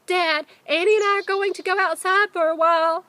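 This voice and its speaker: A woman's voice: extremely high pitch, fairly even intonation, brisk, sharp tone, no echo, voice pushed up. A woman voicing an eager young boy in a children's storybook read-aloud. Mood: calling out eagerly.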